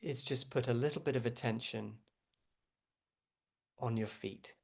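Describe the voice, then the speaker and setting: A man leading a guided meditation as a teacher, speaking gently and without hurry, with frequent pauses. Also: medium pitch, vocal fry, breathy edge.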